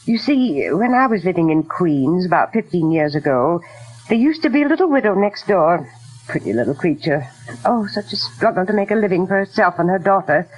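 An effusive spinster secretary in a vintage radio drama. Her delivery is lively and bantering, with swooping intonation and a slightly rough tone. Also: on the low side, a little fast, poor audio. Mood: chatty, eager. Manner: effusive, mock-indignant. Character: chatty, excitable spinster secretary, loyal and bantering. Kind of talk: 1940s radio acting. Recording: husky-sounding vintage 1940s radio recording.

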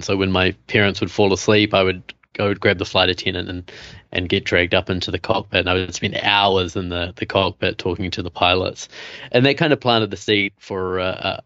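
A man in his thirties with a New Zealand accent, telling his story as a podcast guest in a relaxed way with many fillers. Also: low-pitched, no echo, lo-fi sound. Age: 30s. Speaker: guest, coach. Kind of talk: casual interview chat.

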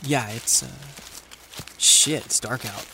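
A woman playing a sarcastic, protective teenage sidekick in a naturalistic audio drama, wry and sometimes halting. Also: a bass voice, sharp tone, slight background noise, exaggerated voice, low-quality recording.

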